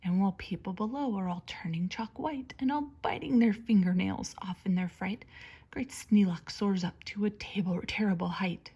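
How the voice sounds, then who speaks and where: A woman reading a children's story aloud like a teacher, with expressive, theatrical emphasis and a breathy edge to her voice. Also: mid-range voice, normal speed, muffled recording. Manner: dramatic, theatrical emphasis on words.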